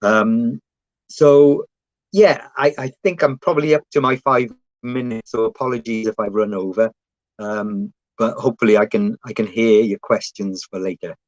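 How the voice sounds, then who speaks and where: A man's voice: lowish voice, moderate pace, dry recording, muffled recording. A man speaking on a panel about his police recruitment job, hesitant with many fillers and restarts. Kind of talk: panel talk.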